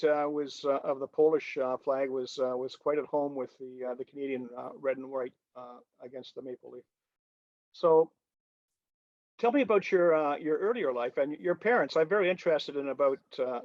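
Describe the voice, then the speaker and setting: A man's voice: fairly high pitch, no echo, highs cut off. A man hosting an interview, reading notes and asking questions hesitantly with many fillers. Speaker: interview host.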